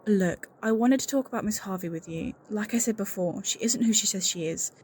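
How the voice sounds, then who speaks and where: A woman's voice: mid-range voice, brisk, darkish tone, slight background noise, lightly acted. A woman playing an anxious, suspicious secretary in an audio roleplay, speaking in an earnest, confiding and insistent way. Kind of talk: scripted audio roleplay acting, one-sided conversation.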